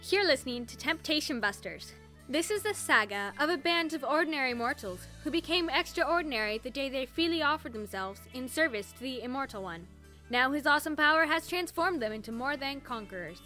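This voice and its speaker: A woman's voice: sits high, lively intonation, fairly quick, bright, forceful tone, faint music behind. A woman announcer reading a scripted, dramatic introduction to an audio drama.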